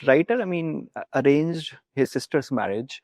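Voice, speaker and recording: A man's voice: fairly high pitch, lively intonation, moderate pace, a little mellow, no echo, studio quality. A young man with an Indian accent chatting as a founder in an interview, in hesitant, broken phrases. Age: young adult. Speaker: startup founder being interviewed. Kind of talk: conversational interview.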